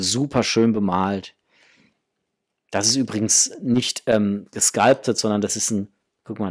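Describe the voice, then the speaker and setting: A man in his forties with a mid-pitched, somewhat rough-edged voice talks like an enthusiastic collector on a vlog. He addresses his viewers informally, as friends, while showing off what he unpacks. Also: darkish tone. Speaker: toy unboxing vlogger. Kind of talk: casual chat, enthusiastic product unboxing. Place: indoors, small room with framed posters.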